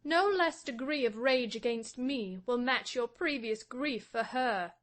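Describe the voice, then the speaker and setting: A man reading an audiobook voices a proud, defiant young wife, speaking softly and scornfully. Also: very high voice, measured pace, pitched above the performer's own voice. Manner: soft, hushed and scornful. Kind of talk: audiobook reading of a classic novel.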